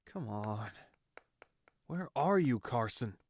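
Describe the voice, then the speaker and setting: A man's voice: mid-range voice, lively intonation, slow, a little hiss or hum. A man playing a frustrated, sardonic gamer in his late twenties, dry and grumbling, in an audio drama. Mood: annoyed. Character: frustrated, sardonic 28-year-old male gamer in wheelchair.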